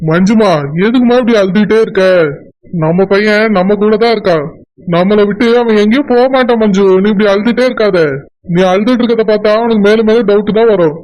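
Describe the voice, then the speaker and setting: A man playing a gentle, reassuring father and husband in a cartoon family melodrama, soothing and earnest. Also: high voice, fairly even intonation, fairly quick, natural voice, low-quality recording.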